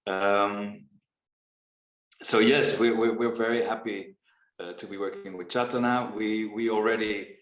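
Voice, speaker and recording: A man with a lowish voice reflects at an easy pace over a video call. He pauses often to find his words. His voice carries the echo of the room he is in. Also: steady tone. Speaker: foundation founder in conversation. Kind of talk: casual video-call conversation.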